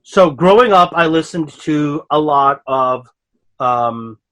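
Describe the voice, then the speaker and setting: A man's voice: a little high, lively intonation, slow, a bit bright. A man from Europe whose first language is not English, co-hosting a podcast and telling a rambling story with laughs along the way. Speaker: podcast co-host. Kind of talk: casual chat.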